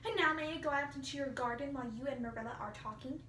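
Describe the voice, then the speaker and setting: Storybook orphan girl woman performing: dramatic, effusive, earnest, gushing; highish voice, set back from the mic. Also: fast, pushed and bright. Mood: elated, gushing. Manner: gushing, melodramatic, pleading. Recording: roomy, distant mic, faint hiss.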